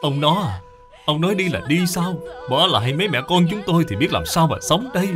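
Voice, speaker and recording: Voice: medium pitch, sing-song, brisk, music in the background, pitched above the performer's own voice, lightly acted. A performer voicing a despairing young widowed mother in a cartoon, plaintive and bitter. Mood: grieving. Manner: plaintive, lamenting.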